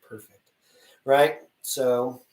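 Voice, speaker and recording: A man's voice: medium pitch, flat delivery, drawn-out words, darkish tone. Roomy, blunt casting director man. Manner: blunt, chatty, acts out little dialogues. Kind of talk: live-stream Q&A advice to actors. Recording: roomy sound from a distance.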